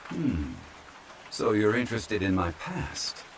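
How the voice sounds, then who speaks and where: A man playing a calm, courtly ancient former god from a fantasy game, speaking formally and with measured politeness in an ASMR roleplay. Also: on the low side, slight background noise. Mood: calm, courteous. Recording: steady rain sound over the voice. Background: rain falling.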